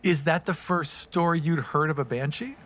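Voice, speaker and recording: Very low-voiced woman interviewer. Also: normal speed. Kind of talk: interview questions.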